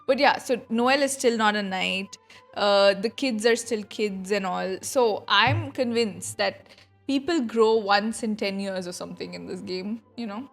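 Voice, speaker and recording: A woman's voice: medium pitch, measured pace, gravelly. A playful, slangy woman giving casual reaction commentary as she streams a game, with music underneath.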